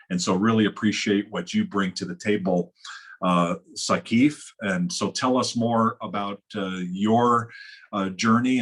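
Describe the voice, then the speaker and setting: Husky low-voiced male moderator. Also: a bit bright. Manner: measured, many restarts and fillers. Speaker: webinar moderator. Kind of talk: online panel moderation. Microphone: video call.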